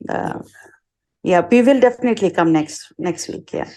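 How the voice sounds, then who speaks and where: A woman's voice: on the low side, moderate pace, mellow. A woman attending a devotional class over a video call, speaking eagerly with hesitations. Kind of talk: casual chat.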